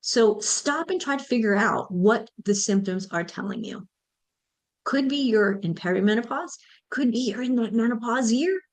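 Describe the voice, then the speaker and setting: A woman's voice: on the high side, animated, normal speed. A woman, hosting a motivational solo podcast and speaking to listeners directly in an encouraging way. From United States. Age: 50s. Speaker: podcast host and menopause mentor.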